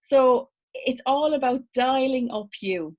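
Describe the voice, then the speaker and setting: Irish woman, business coach on a live class; chatty, quick to laugh, reads viewer comments aloud. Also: high-pitched. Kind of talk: live online masterclass.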